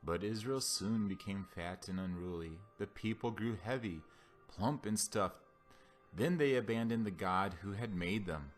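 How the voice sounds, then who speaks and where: A man with a lowish voice reads scripture aloud in a solemn style. His phrasing is deliberate and declamatory. Soft music plays behind him. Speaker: Bible reader. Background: background music.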